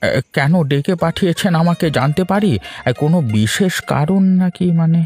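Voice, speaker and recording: A man voicing a greedy, obsequious village shopkeeper in a cartoon, wheedling and flattering. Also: a little high, normal speed. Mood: fawning. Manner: fawning, hesitant wheedling. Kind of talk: animated cartoon voice acting. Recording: faint music behind the voice.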